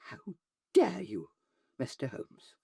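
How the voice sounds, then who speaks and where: A woman's voice: fairly low pitch, huge pitch swings, slow pace, vocal fry, dark tone, breathy edge, dry recording, clean studio sound, exaggerated voice. A woman playing a haughty, indignant upper-class lady in a period audio drama, with imperious, formal delivery.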